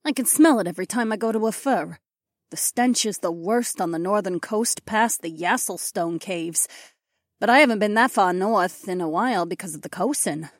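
A woman voicing a proud, sharp-tongued female wolf-pack leader in an audiobook, with snappy, assertive delivery. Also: medium pitch, normal speed, no room sound, clean studio sound, natural voice. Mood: cool, questioning. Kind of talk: audiobook character dialogue.

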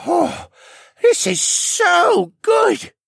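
A man voicing a shy, troubled young boy in an audiobook, earnest and halting in his speech. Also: sits high, big pitch swings, dry recording, clean studio sound, voice pushed up. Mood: delighted. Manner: earnest, halting, stammering over words. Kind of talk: audiobook character voice.

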